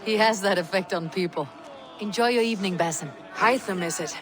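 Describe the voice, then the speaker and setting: A woman's voice: medium pitch, brisk, a crowd in the background, close to an everyday voice. A woman playing a steadfast young Viking warrior in a video game, blunt, composed and dryly wry. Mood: friendly, curious. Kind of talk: cinematic video game acting.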